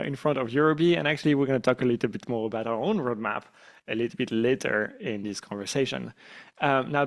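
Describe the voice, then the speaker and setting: French-accented male company founder. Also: mid-range voice. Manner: relaxed, self-deprecating, with frequent fillers. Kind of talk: town hall presentation.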